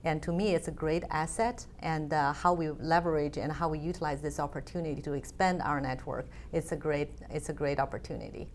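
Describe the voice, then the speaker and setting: A woman's voice: low-pitched, fairly even intonation, a little fast, hardly any pauses, slight background noise. A woman, a guest in an interview, speaking thoughtfully with frequent fillers. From China. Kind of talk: conversational interview.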